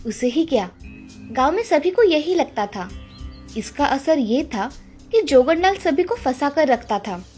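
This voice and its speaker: A woman's voice: sits high, sing-song, a little slow, darkish tone, soft background music. A woman narrating a scripted moral tale as storyteller.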